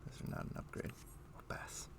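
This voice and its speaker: A man livestreaming a game, chatting casually and thinking aloud in a rambling, halting way. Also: in a whisper. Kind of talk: casual chat while playing an online game. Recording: music playing behind. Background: music.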